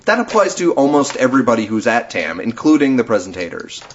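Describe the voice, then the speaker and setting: A man's voice: mid-range voice, big pitch swings, fast, a little hiss or hum. A man hosting a podcast in casual chat, explaining things in a talkative way with frequent chuckles. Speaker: podcast host. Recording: dull lo-fi podcast recording.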